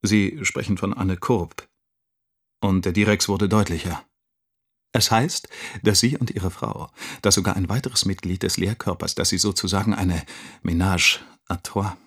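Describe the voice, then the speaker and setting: A concerned man from a school staff in a radio play. He has a deep voice and narrates his own account like a wry witness. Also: steady tone, a little slow, a bit bright, breathy edge, full character voice. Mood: indignant, wry. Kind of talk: narrated dramatic monologue.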